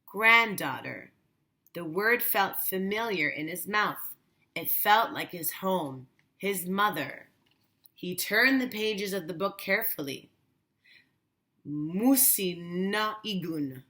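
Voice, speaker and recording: A woman teacher reading a children's story aloud with measured, deliberate phrasing. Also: medium pitch, animated, sharp tone.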